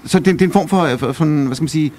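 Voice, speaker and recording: A man's voice: fairly high pitch, a little mellow. A man working as a roving radio co-host, doing a casual, agreeable interview on location at a market. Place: outdoor market by a shop stall. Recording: live market reporting with background noise. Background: market ambience.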